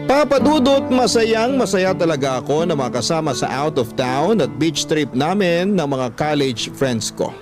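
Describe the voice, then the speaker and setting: A man's voice: a little high, sing-song, normal speed, few pauses, over music. A man, a radio host reading a listener's letter as scripted first-person story narration.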